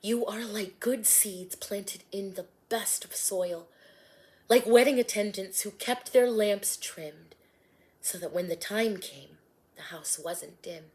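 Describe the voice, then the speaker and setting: Woman voicing a young mother, grateful, tender, gentle storybook reading, unhurried. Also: medium pitch, lightly acted.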